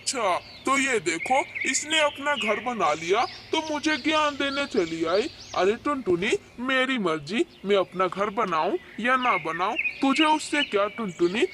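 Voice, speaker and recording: A lazy male crow from a children's cartoon , acted out by a performer, whiny and dramatic. The voice sits at a middling pitch, repeating itself theatrically, on a very noisy track. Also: expressive, measured pace, few pauses, lightly acted. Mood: grumpy, dismissive. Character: lazy, grumpy male crow who turns pleading. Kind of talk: Hindi children's cartoon voice acting. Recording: very noisy track with background ambience.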